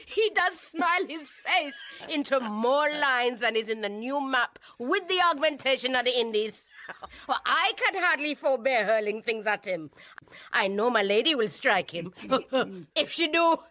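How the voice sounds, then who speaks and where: A woman's voice: high voice, expressive, unhurried, bright, forceful tone, full character voice. A woman playing a gleeful prankster maid in a Shakespeare radio drama, gossipy and giggly. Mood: giggling glee.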